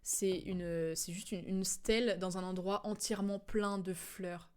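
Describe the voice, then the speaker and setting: A woman's voice: medium pitch, fairly even intonation, unhurried, a little mellow, clear, resonant tone. A young adult woman giving casual, rambling game commentary as a streamer, in informal, slangy speech.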